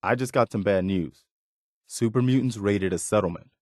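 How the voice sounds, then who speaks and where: Game role: principled, weary militia officer, played by a male actor, sincere, plainspoken, lowish pitch, dry close recording. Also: moderate pace, no room sound, close to an everyday voice. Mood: earnest, steady. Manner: sincere, plainspoken, morally upright. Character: earnest, steadfast militia officer, weary but principled man. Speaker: game companion character. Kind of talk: video game voice acting.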